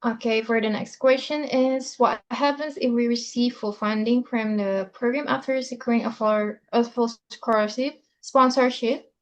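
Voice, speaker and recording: A woman's voice: a little high, steady tone, moderate pace, hardly any pauses. A woman politely moderating an online question session, thanking speakers and reading out questions.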